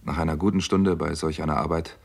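A man's voice: a bass voice, fairly even intonation, quick pace, gravelly, breathy edge, exaggerated voice. An actor playing an earnest, driven doctor who recounts his story in a 1960s German radio crime drama. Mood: grave, measured.

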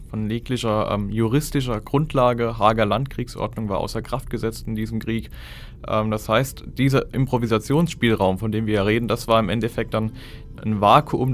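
A man's voice: mid-range voice, slight background noise. A man speaking as a historical expert, explaining in an academic manner for a documentary.

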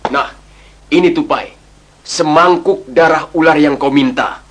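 A woman voicing a hot-tempered male palace minister in a storybook tale, gruff and blustering. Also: deep voice, measured pace, slight background noise. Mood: eager. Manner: gruff, blustering, then humbled.

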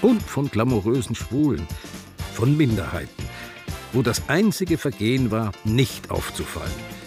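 Defiant older Austrian man, animated. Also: medium pitch, a little slow, over music. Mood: wistful, rapturous. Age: 70s. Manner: frank, theatrical. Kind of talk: radio drama, intimate storytelling.

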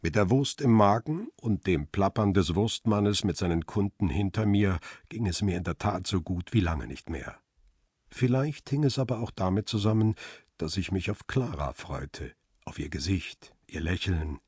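An actor playing a world-weary paparazzo who narrates his own crime story in a radio drama, wry and understated, with a husky voice. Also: low voice, a little mellow, no room sound, clean studio sound. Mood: reflective, matter-of-fact. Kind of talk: first-person radio drama narration with dialogue.

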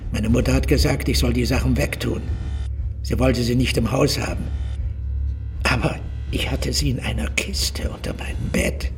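An actor in a radio drama playing a simple, haunted elderly loner, speaking haltingly and with childlike phrasing. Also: male voice, medium pitch, creaky, far from the mic, faint music behind, close to an everyday voice. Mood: troubled, confessing. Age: older.

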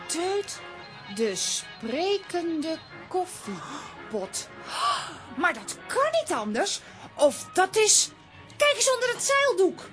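A woman's voice: very high-pitched, big pitch swings, takes it slowly, long pauses, a bit bright, faint music behind, full character voice. A woman voicing a plucky, cheeky young girl in a children's radio play, bold and teasing. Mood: bold, defiant.

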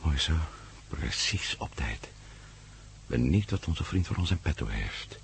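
An actor playing a wary, defiant adventure hero in a radio drama, speaking tersely. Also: male voice, very deep voice, very animated, a little slow, creaky, exaggerated voice. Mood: wary, muttering. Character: defiant, wary adventure hero trying to rescue his wife. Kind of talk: Dutch radio drama acting. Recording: noisy radio recording with outdoor ambience.

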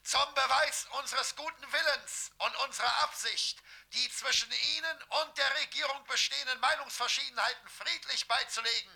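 A man's voice: extremely high pitch, moderate pace, vocal fry, bright, forceful tone, full character voice. An actor playing a stiff male government envoy, proclaiming a formal official announcement in a German radio play. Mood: formal, forceful.

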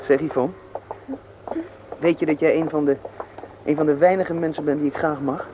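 A man's voice: fairly high pitch, fairly even intonation, normal speed, natural voice. A young man playing a cheeky, stubborn teenage schoolboy in a radio drama, teasing and flippant. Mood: teasing, earnest. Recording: dull, noisy old radio drama recording.

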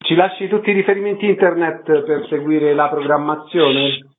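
Higher-voiced male radio interviewer, thin phone-like sound. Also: little pitch movement, normal speed, a little hiss or hum.

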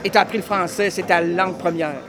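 A man's voice: high voice, fairly even intonation, fast, music in the background. A man with a Québec French accent hosting a casual radio interview, chatty and full of eager interjections. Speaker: radio host.

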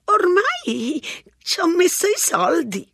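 Shrill, slow chatty old woman. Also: wildly swooping pitch, vocal fry, a bit bright, dry recording, studio quality, full character voice. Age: older. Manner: chatty, rambling, unhurried. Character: scruffy garrulous old woman. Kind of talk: audiobook narration.

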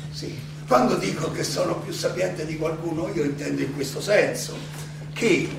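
An actor playing a defiant philosopher on trial in a theatrical monologue, declamatory and halting, with rhetorical questions, in an echoey, noisy recording. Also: male voice, sits high, exaggerated voice. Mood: resolute. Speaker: stage actor and director. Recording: echoey hall, distant mic, clearly noisy.

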